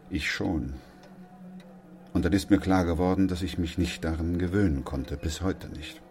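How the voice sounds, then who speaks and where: A man playing a sober, weary, steadfast doctor in a German radio play, speaking in a grave, measured, reflective way. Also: deep voice, a little mellow, lightly acted. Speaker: actor playing the narrator and lead.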